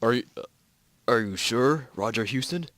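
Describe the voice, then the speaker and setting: A man's voice: mid-range voice, animated, normal speed, a bit bright, natural voice. A man playing a cautious astronaut on the moon in an amateur story reading, clipped and hesitant. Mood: tense, hesitant. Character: tense, cautious male American astronaut on the moon. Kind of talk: amateur group fan fiction reading.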